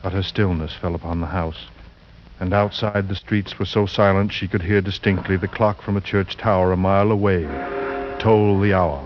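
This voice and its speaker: A man narrating a suspense tale as a radio actor, grave and deliberate in a theatrical 1940s style. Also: low-pitched, fairly even intonation, background noise, close to an everyday voice. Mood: grave, ominous. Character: grave, ominous male storyteller of a horror tale. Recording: muffled 1940s radio recording with music.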